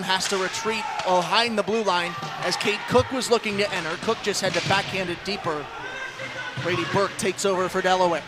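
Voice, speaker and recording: A man doing live radio play-by-play commentary of an ice hockey game, describing the action in rapid detail and lifting his voice with the action. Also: high voice, very noisy. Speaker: play-by-play sports announcer.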